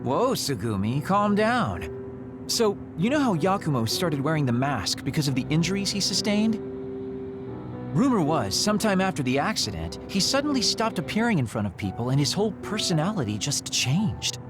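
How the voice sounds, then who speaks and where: A man's voice: fairly high pitch, very animated, normal speed, over music. An actor playing a breezy, glib, teasing man in an anime-style video game. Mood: breezy, explaining.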